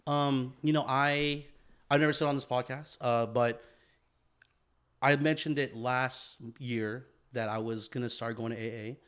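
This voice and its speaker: Male podcast co-host, mid-pitched, rambling and self-deprecating, filler-heavy. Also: steady tone, unhurried, fairly bright tone, smooth. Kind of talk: casual podcast chat.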